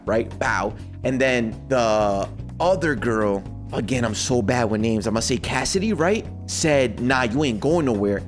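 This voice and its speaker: Male reaction streamer: conversational, slangy, exclaiming, middling pitch, light music underneath. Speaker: streamer reacting to game voice lines. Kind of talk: casual reaction commentary.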